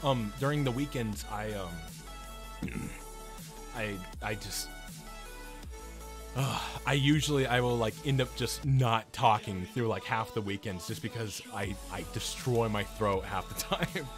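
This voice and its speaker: A man's voice: lowish voice, measured pace, over music. A young man streaming games, chatting casually and rambling with his viewers, his throat strained and his voice wearing out. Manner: rambling, restarts often, talks loud and a lot. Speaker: game streamer. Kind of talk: casual chat with live chat viewers.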